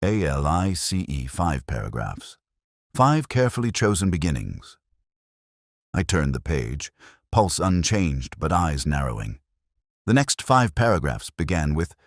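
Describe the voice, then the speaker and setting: Very deep-voiced man narrating a brooding detective story. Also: no room sound, studio quality. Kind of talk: first-person detective story narration, literary and measured.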